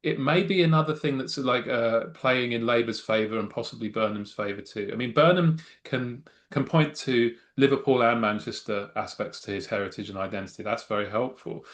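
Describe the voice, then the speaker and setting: A man's voice: mid-range voice, fairly quick, hardly any pauses. A middle-aged man speaking as a political science guest, giving thoughtful, wry analysis with frequent restarts and fillers. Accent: non-Mancunian English. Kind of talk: conversational expert analysis.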